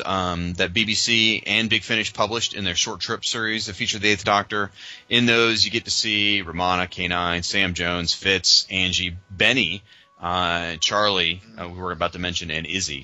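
A man's voice: low-pitched, sharp tone, highs cut off. A man co-hosting a podcast in casual chat, with an informal, enthusiastic way of talking. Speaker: podcast co-host.

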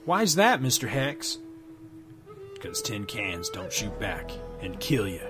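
A man voicing a gruff, laconic bounty hunter in a comic story, speaking low and slow. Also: big pitch swings, music in the background.

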